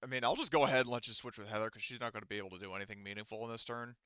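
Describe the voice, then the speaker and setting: Bright, clear-voiced man running a tabletop game. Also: medium pitch, rapid-fire, dry recording, muffled recording. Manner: casual, swears freely. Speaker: game master.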